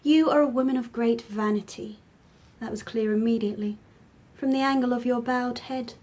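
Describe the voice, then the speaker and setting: An actress playing a tiny, cold, imperious young empress in a radio drama, haughty, formal and breathy. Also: female voice, fairly high pitch, fairly even intonation, moderate pace, darkish tone, natural voice. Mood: commanding, disdainful. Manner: haughty, clipped, royal formality.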